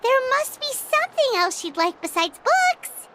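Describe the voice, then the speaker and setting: A woman voicing a small, excitable floating girl companion in a game dub, talking in a squeaky, fretful way over game music. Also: measured pace, very noisy, full character voice. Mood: doubtful. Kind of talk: dubbed game dialogue.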